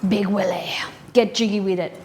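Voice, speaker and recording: Casting: woman, live-stream host, chatty, casual, reads trivia aloud, mid-pitched voice. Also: steady tone, moderate pace, background noise.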